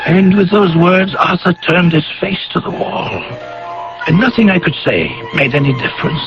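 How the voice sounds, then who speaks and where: A man's voice: sits high, moderate pace, loud music behind the voice. An actor playing an earnest, troubled friend, with dramatic, hesitant delivery in a radio mystery play that he also narrates. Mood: troubled, hushed. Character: earnest, troubled male friend recounting eerie events. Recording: dull, noisy vintage radio broadcast.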